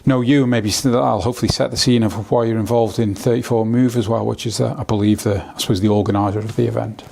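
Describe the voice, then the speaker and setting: A man's voice: fairly low pitch, steady tone, fast talker, vocal fry, darkish tone. A man hosting a podcast in a casual, jokey, informal way. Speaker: podcast host. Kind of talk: casual, chatty interviewing.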